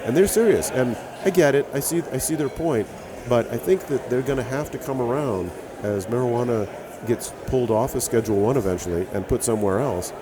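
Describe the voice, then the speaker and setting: A man's voice: medium pitch, fairly quick, noisy background. A man with a Hawaiian accent, a brewer and author telling stories as a guest in a casual interview.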